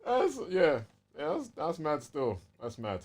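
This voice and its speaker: A man's voice: fairly high pitch, huge pitch swings, slow. Slangy London man chatting about games. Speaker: podcast co-host. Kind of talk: casual gaming chat.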